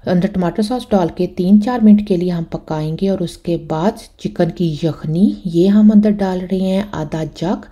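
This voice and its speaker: Cooking host, woman, Pakistani Urdu accent, low and very mellow, chatty explainer. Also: moderate pace, hardly any pauses, muffled recording. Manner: chatty, step-by-step explaining with frequent "na" tags. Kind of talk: voice-over recipe instructions.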